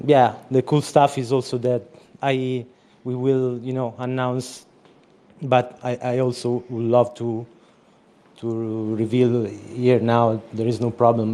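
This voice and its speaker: A man talks through his project in a relaxed online chat. His voice is mellow and dark, and his pitch stays even and flat as he explains at length. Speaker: project organizer. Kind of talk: casual chat. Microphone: remote call audio.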